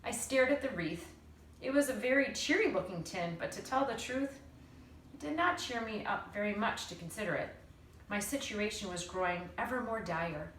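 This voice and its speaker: A woman teacher reading a children's story aloud with expressive, dramatic narration and deliberate phrasing. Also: medium pitch. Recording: roomy, distant mic, faint noise.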